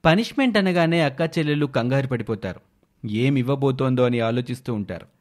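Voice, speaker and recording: A man narrating an animated moral tale in a scripted storytelling style. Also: mid-range voice, fairly quick.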